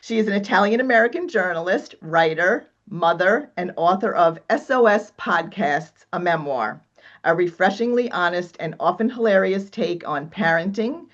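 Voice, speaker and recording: A woman's voice: lowish voice, expressive, normal speed. A woman podcast co-host from New York, who chats easily, laughs, and reads a guest's bio in a listing cadence. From New York, Long Island. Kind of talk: casual podcast chat and bio reading. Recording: slightly dull lo-fi sound.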